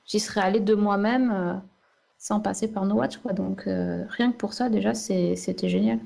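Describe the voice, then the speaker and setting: A woman's voice: mid-range voice, steady tone, moderate pace, vocal fry, dark tone. A woman speaking casually as a panelist in a podcast discussion.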